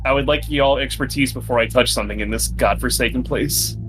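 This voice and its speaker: A man's voice: mid-range voice, brisk, fairly bright tone, rough recording. A man chatting casually as a player in a tabletop roleplaying game. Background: background music.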